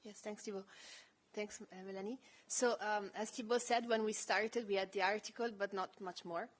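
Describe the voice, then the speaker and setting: A woman with a low voice giving a measured, explanatory panel presentation with frequent fillers. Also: fairly even intonation. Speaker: panel speaker presenting project research.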